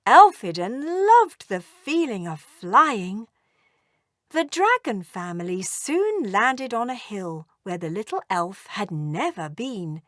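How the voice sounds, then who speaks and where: A woman narrating a children's story aloud in an expressive, deliberate storytelling manner. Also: medium pitch, dry recording, studio quality.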